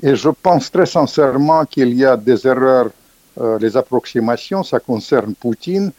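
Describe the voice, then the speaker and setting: A man's voice: animated, measured pace, a little mellow, dry recording. Emphatic older man, dry dull sound. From Ukrainian background. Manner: emphatic, restarts often, rises sharply in pitch when stressing points. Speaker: author guest. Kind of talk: interview, political commentary.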